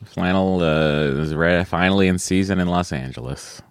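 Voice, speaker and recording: A man in his thirties co-hosting a podcast, deadpan and jokey in casual chat, with a sore mouth that hurts to talk. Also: sits low, normal speed, smooth, no echo, studio quality. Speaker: podcast co-host.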